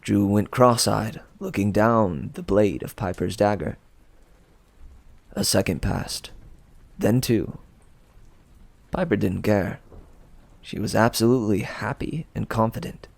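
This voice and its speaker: A man reading a storybook aloud as expressive narration, with a gravelly voice. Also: on the low side, slow pace, long pauses, dry recording. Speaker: storybook reader.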